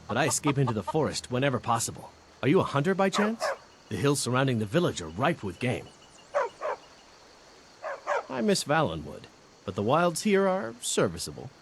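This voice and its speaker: An actor playing a wry, disdainful wood elf mill worker in a fantasy video game. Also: male voice, medium pitch, noisy background. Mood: condescending, wistful. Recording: music behind the voice.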